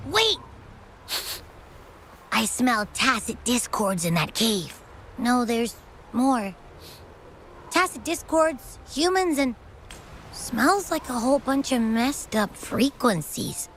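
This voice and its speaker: An actress voicing a small, cheeky creature companion in a video game, bossy, indignant and expressive. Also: female voice, on the high side, slow pace, pauses often, a little hiss or hum, heavily acted, poor audio. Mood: alarmed, suspicious. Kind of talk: video game story dialogue.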